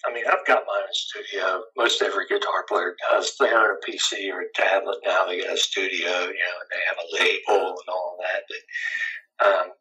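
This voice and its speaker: A middle-aged man with a scratchy, raspy voice, chatting casually and rambling as an interview guest. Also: low-pitched, level tone, moderate pace, sharp tone. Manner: laid-back, rambling, chuckles often. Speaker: guitarist guest.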